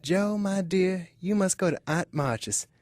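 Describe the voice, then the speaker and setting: A woman acting a calm, steadfast middle-aged mother in wartime, in an audio drama. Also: lowish voice, a little slow, no room sound, studio quality, natural voice. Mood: composed, urgent. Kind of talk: amateur audio drama of a classic novel.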